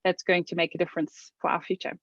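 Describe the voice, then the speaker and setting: A South African woman talking as an interview guest, earnest and reflective, often pausing with "um" and restarting her sentences. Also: lowish voice, flat delivery, a bit bright, no room sound, highs cut off. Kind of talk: interview conversation.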